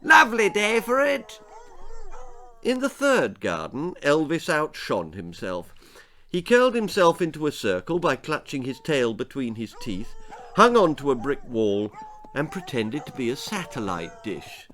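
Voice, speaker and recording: Male English author-narrator for comic children's stories, bright, animated, dramatic. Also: medium pitch, unhurried, a little hiss or hum. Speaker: author reading his own story.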